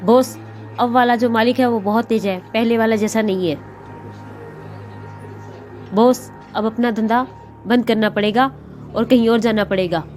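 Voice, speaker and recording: A woman's voice: a little high, fairly even intonation, a little slow, long pauses, a little mellow, natural voice. A woman playing a complaining, anxious sham ghost in a children's horror story. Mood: fed up. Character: fed-up female fake ghost working for crooks. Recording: music behind the voice. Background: music.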